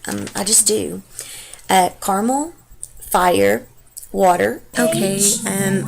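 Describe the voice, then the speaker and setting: A woman's voice: lowish voice, creaky, poor audio. A young woman with a heavy Texas accent, chatting casually and rambling through a home vlog, recorded on her computer's webcam mic. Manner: chatty and rambling, many fillers. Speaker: stay-at-home mom vlogger. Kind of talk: casual unscripted vlog chat. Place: home, partly the living room.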